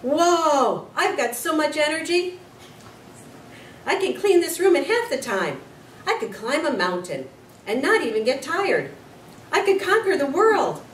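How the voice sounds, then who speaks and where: A woman voicing an exuberant, lovestruck man in a story, loud, excitable and gushing. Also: sits high, measured pace, voice pushed up. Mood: gushing, elated. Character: exuberant lovestruck man, a school night janitor. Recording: roomy, distant mic, noisy.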